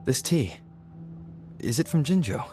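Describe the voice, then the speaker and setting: A man's voice: medium pitch, big pitch swings, measured pace, warm tone, soft background music. An actor playing a reserved, wary young male traveller in a video game, speaking in a terse, restrained way. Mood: guarded, curious.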